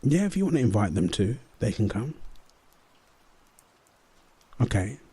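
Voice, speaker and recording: A man's voice: on the low side, big pitch swings, a little slow, mellow, husky, no room sound. A man in an intimate comfort roleplay as a husband and father, speaking tenderly and soothingly.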